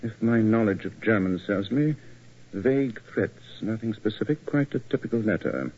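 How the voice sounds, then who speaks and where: An actor playing an urbane, self-assured English gentleman detective with an English accent, speaking precisely and formally in a 1940s radio drama. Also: fairly low pitch, animated, normal speed, husky. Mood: analytical. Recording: muffled vintage radio recording.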